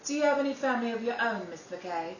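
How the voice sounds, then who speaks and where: A woman playing a wry, measured young Englishwoman in a 1930s-style radio drama. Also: a little high, lively intonation, a little hiss or hum, close to an everyday voice. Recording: roomy room, distant mic.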